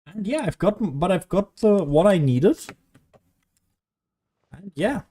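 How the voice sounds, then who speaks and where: A man's voice: high voice, big pitch swings, slow, dark tone, husky. A man giving casual, rambling video game commentary, often losing his train of thought like a streamer talking as he plays.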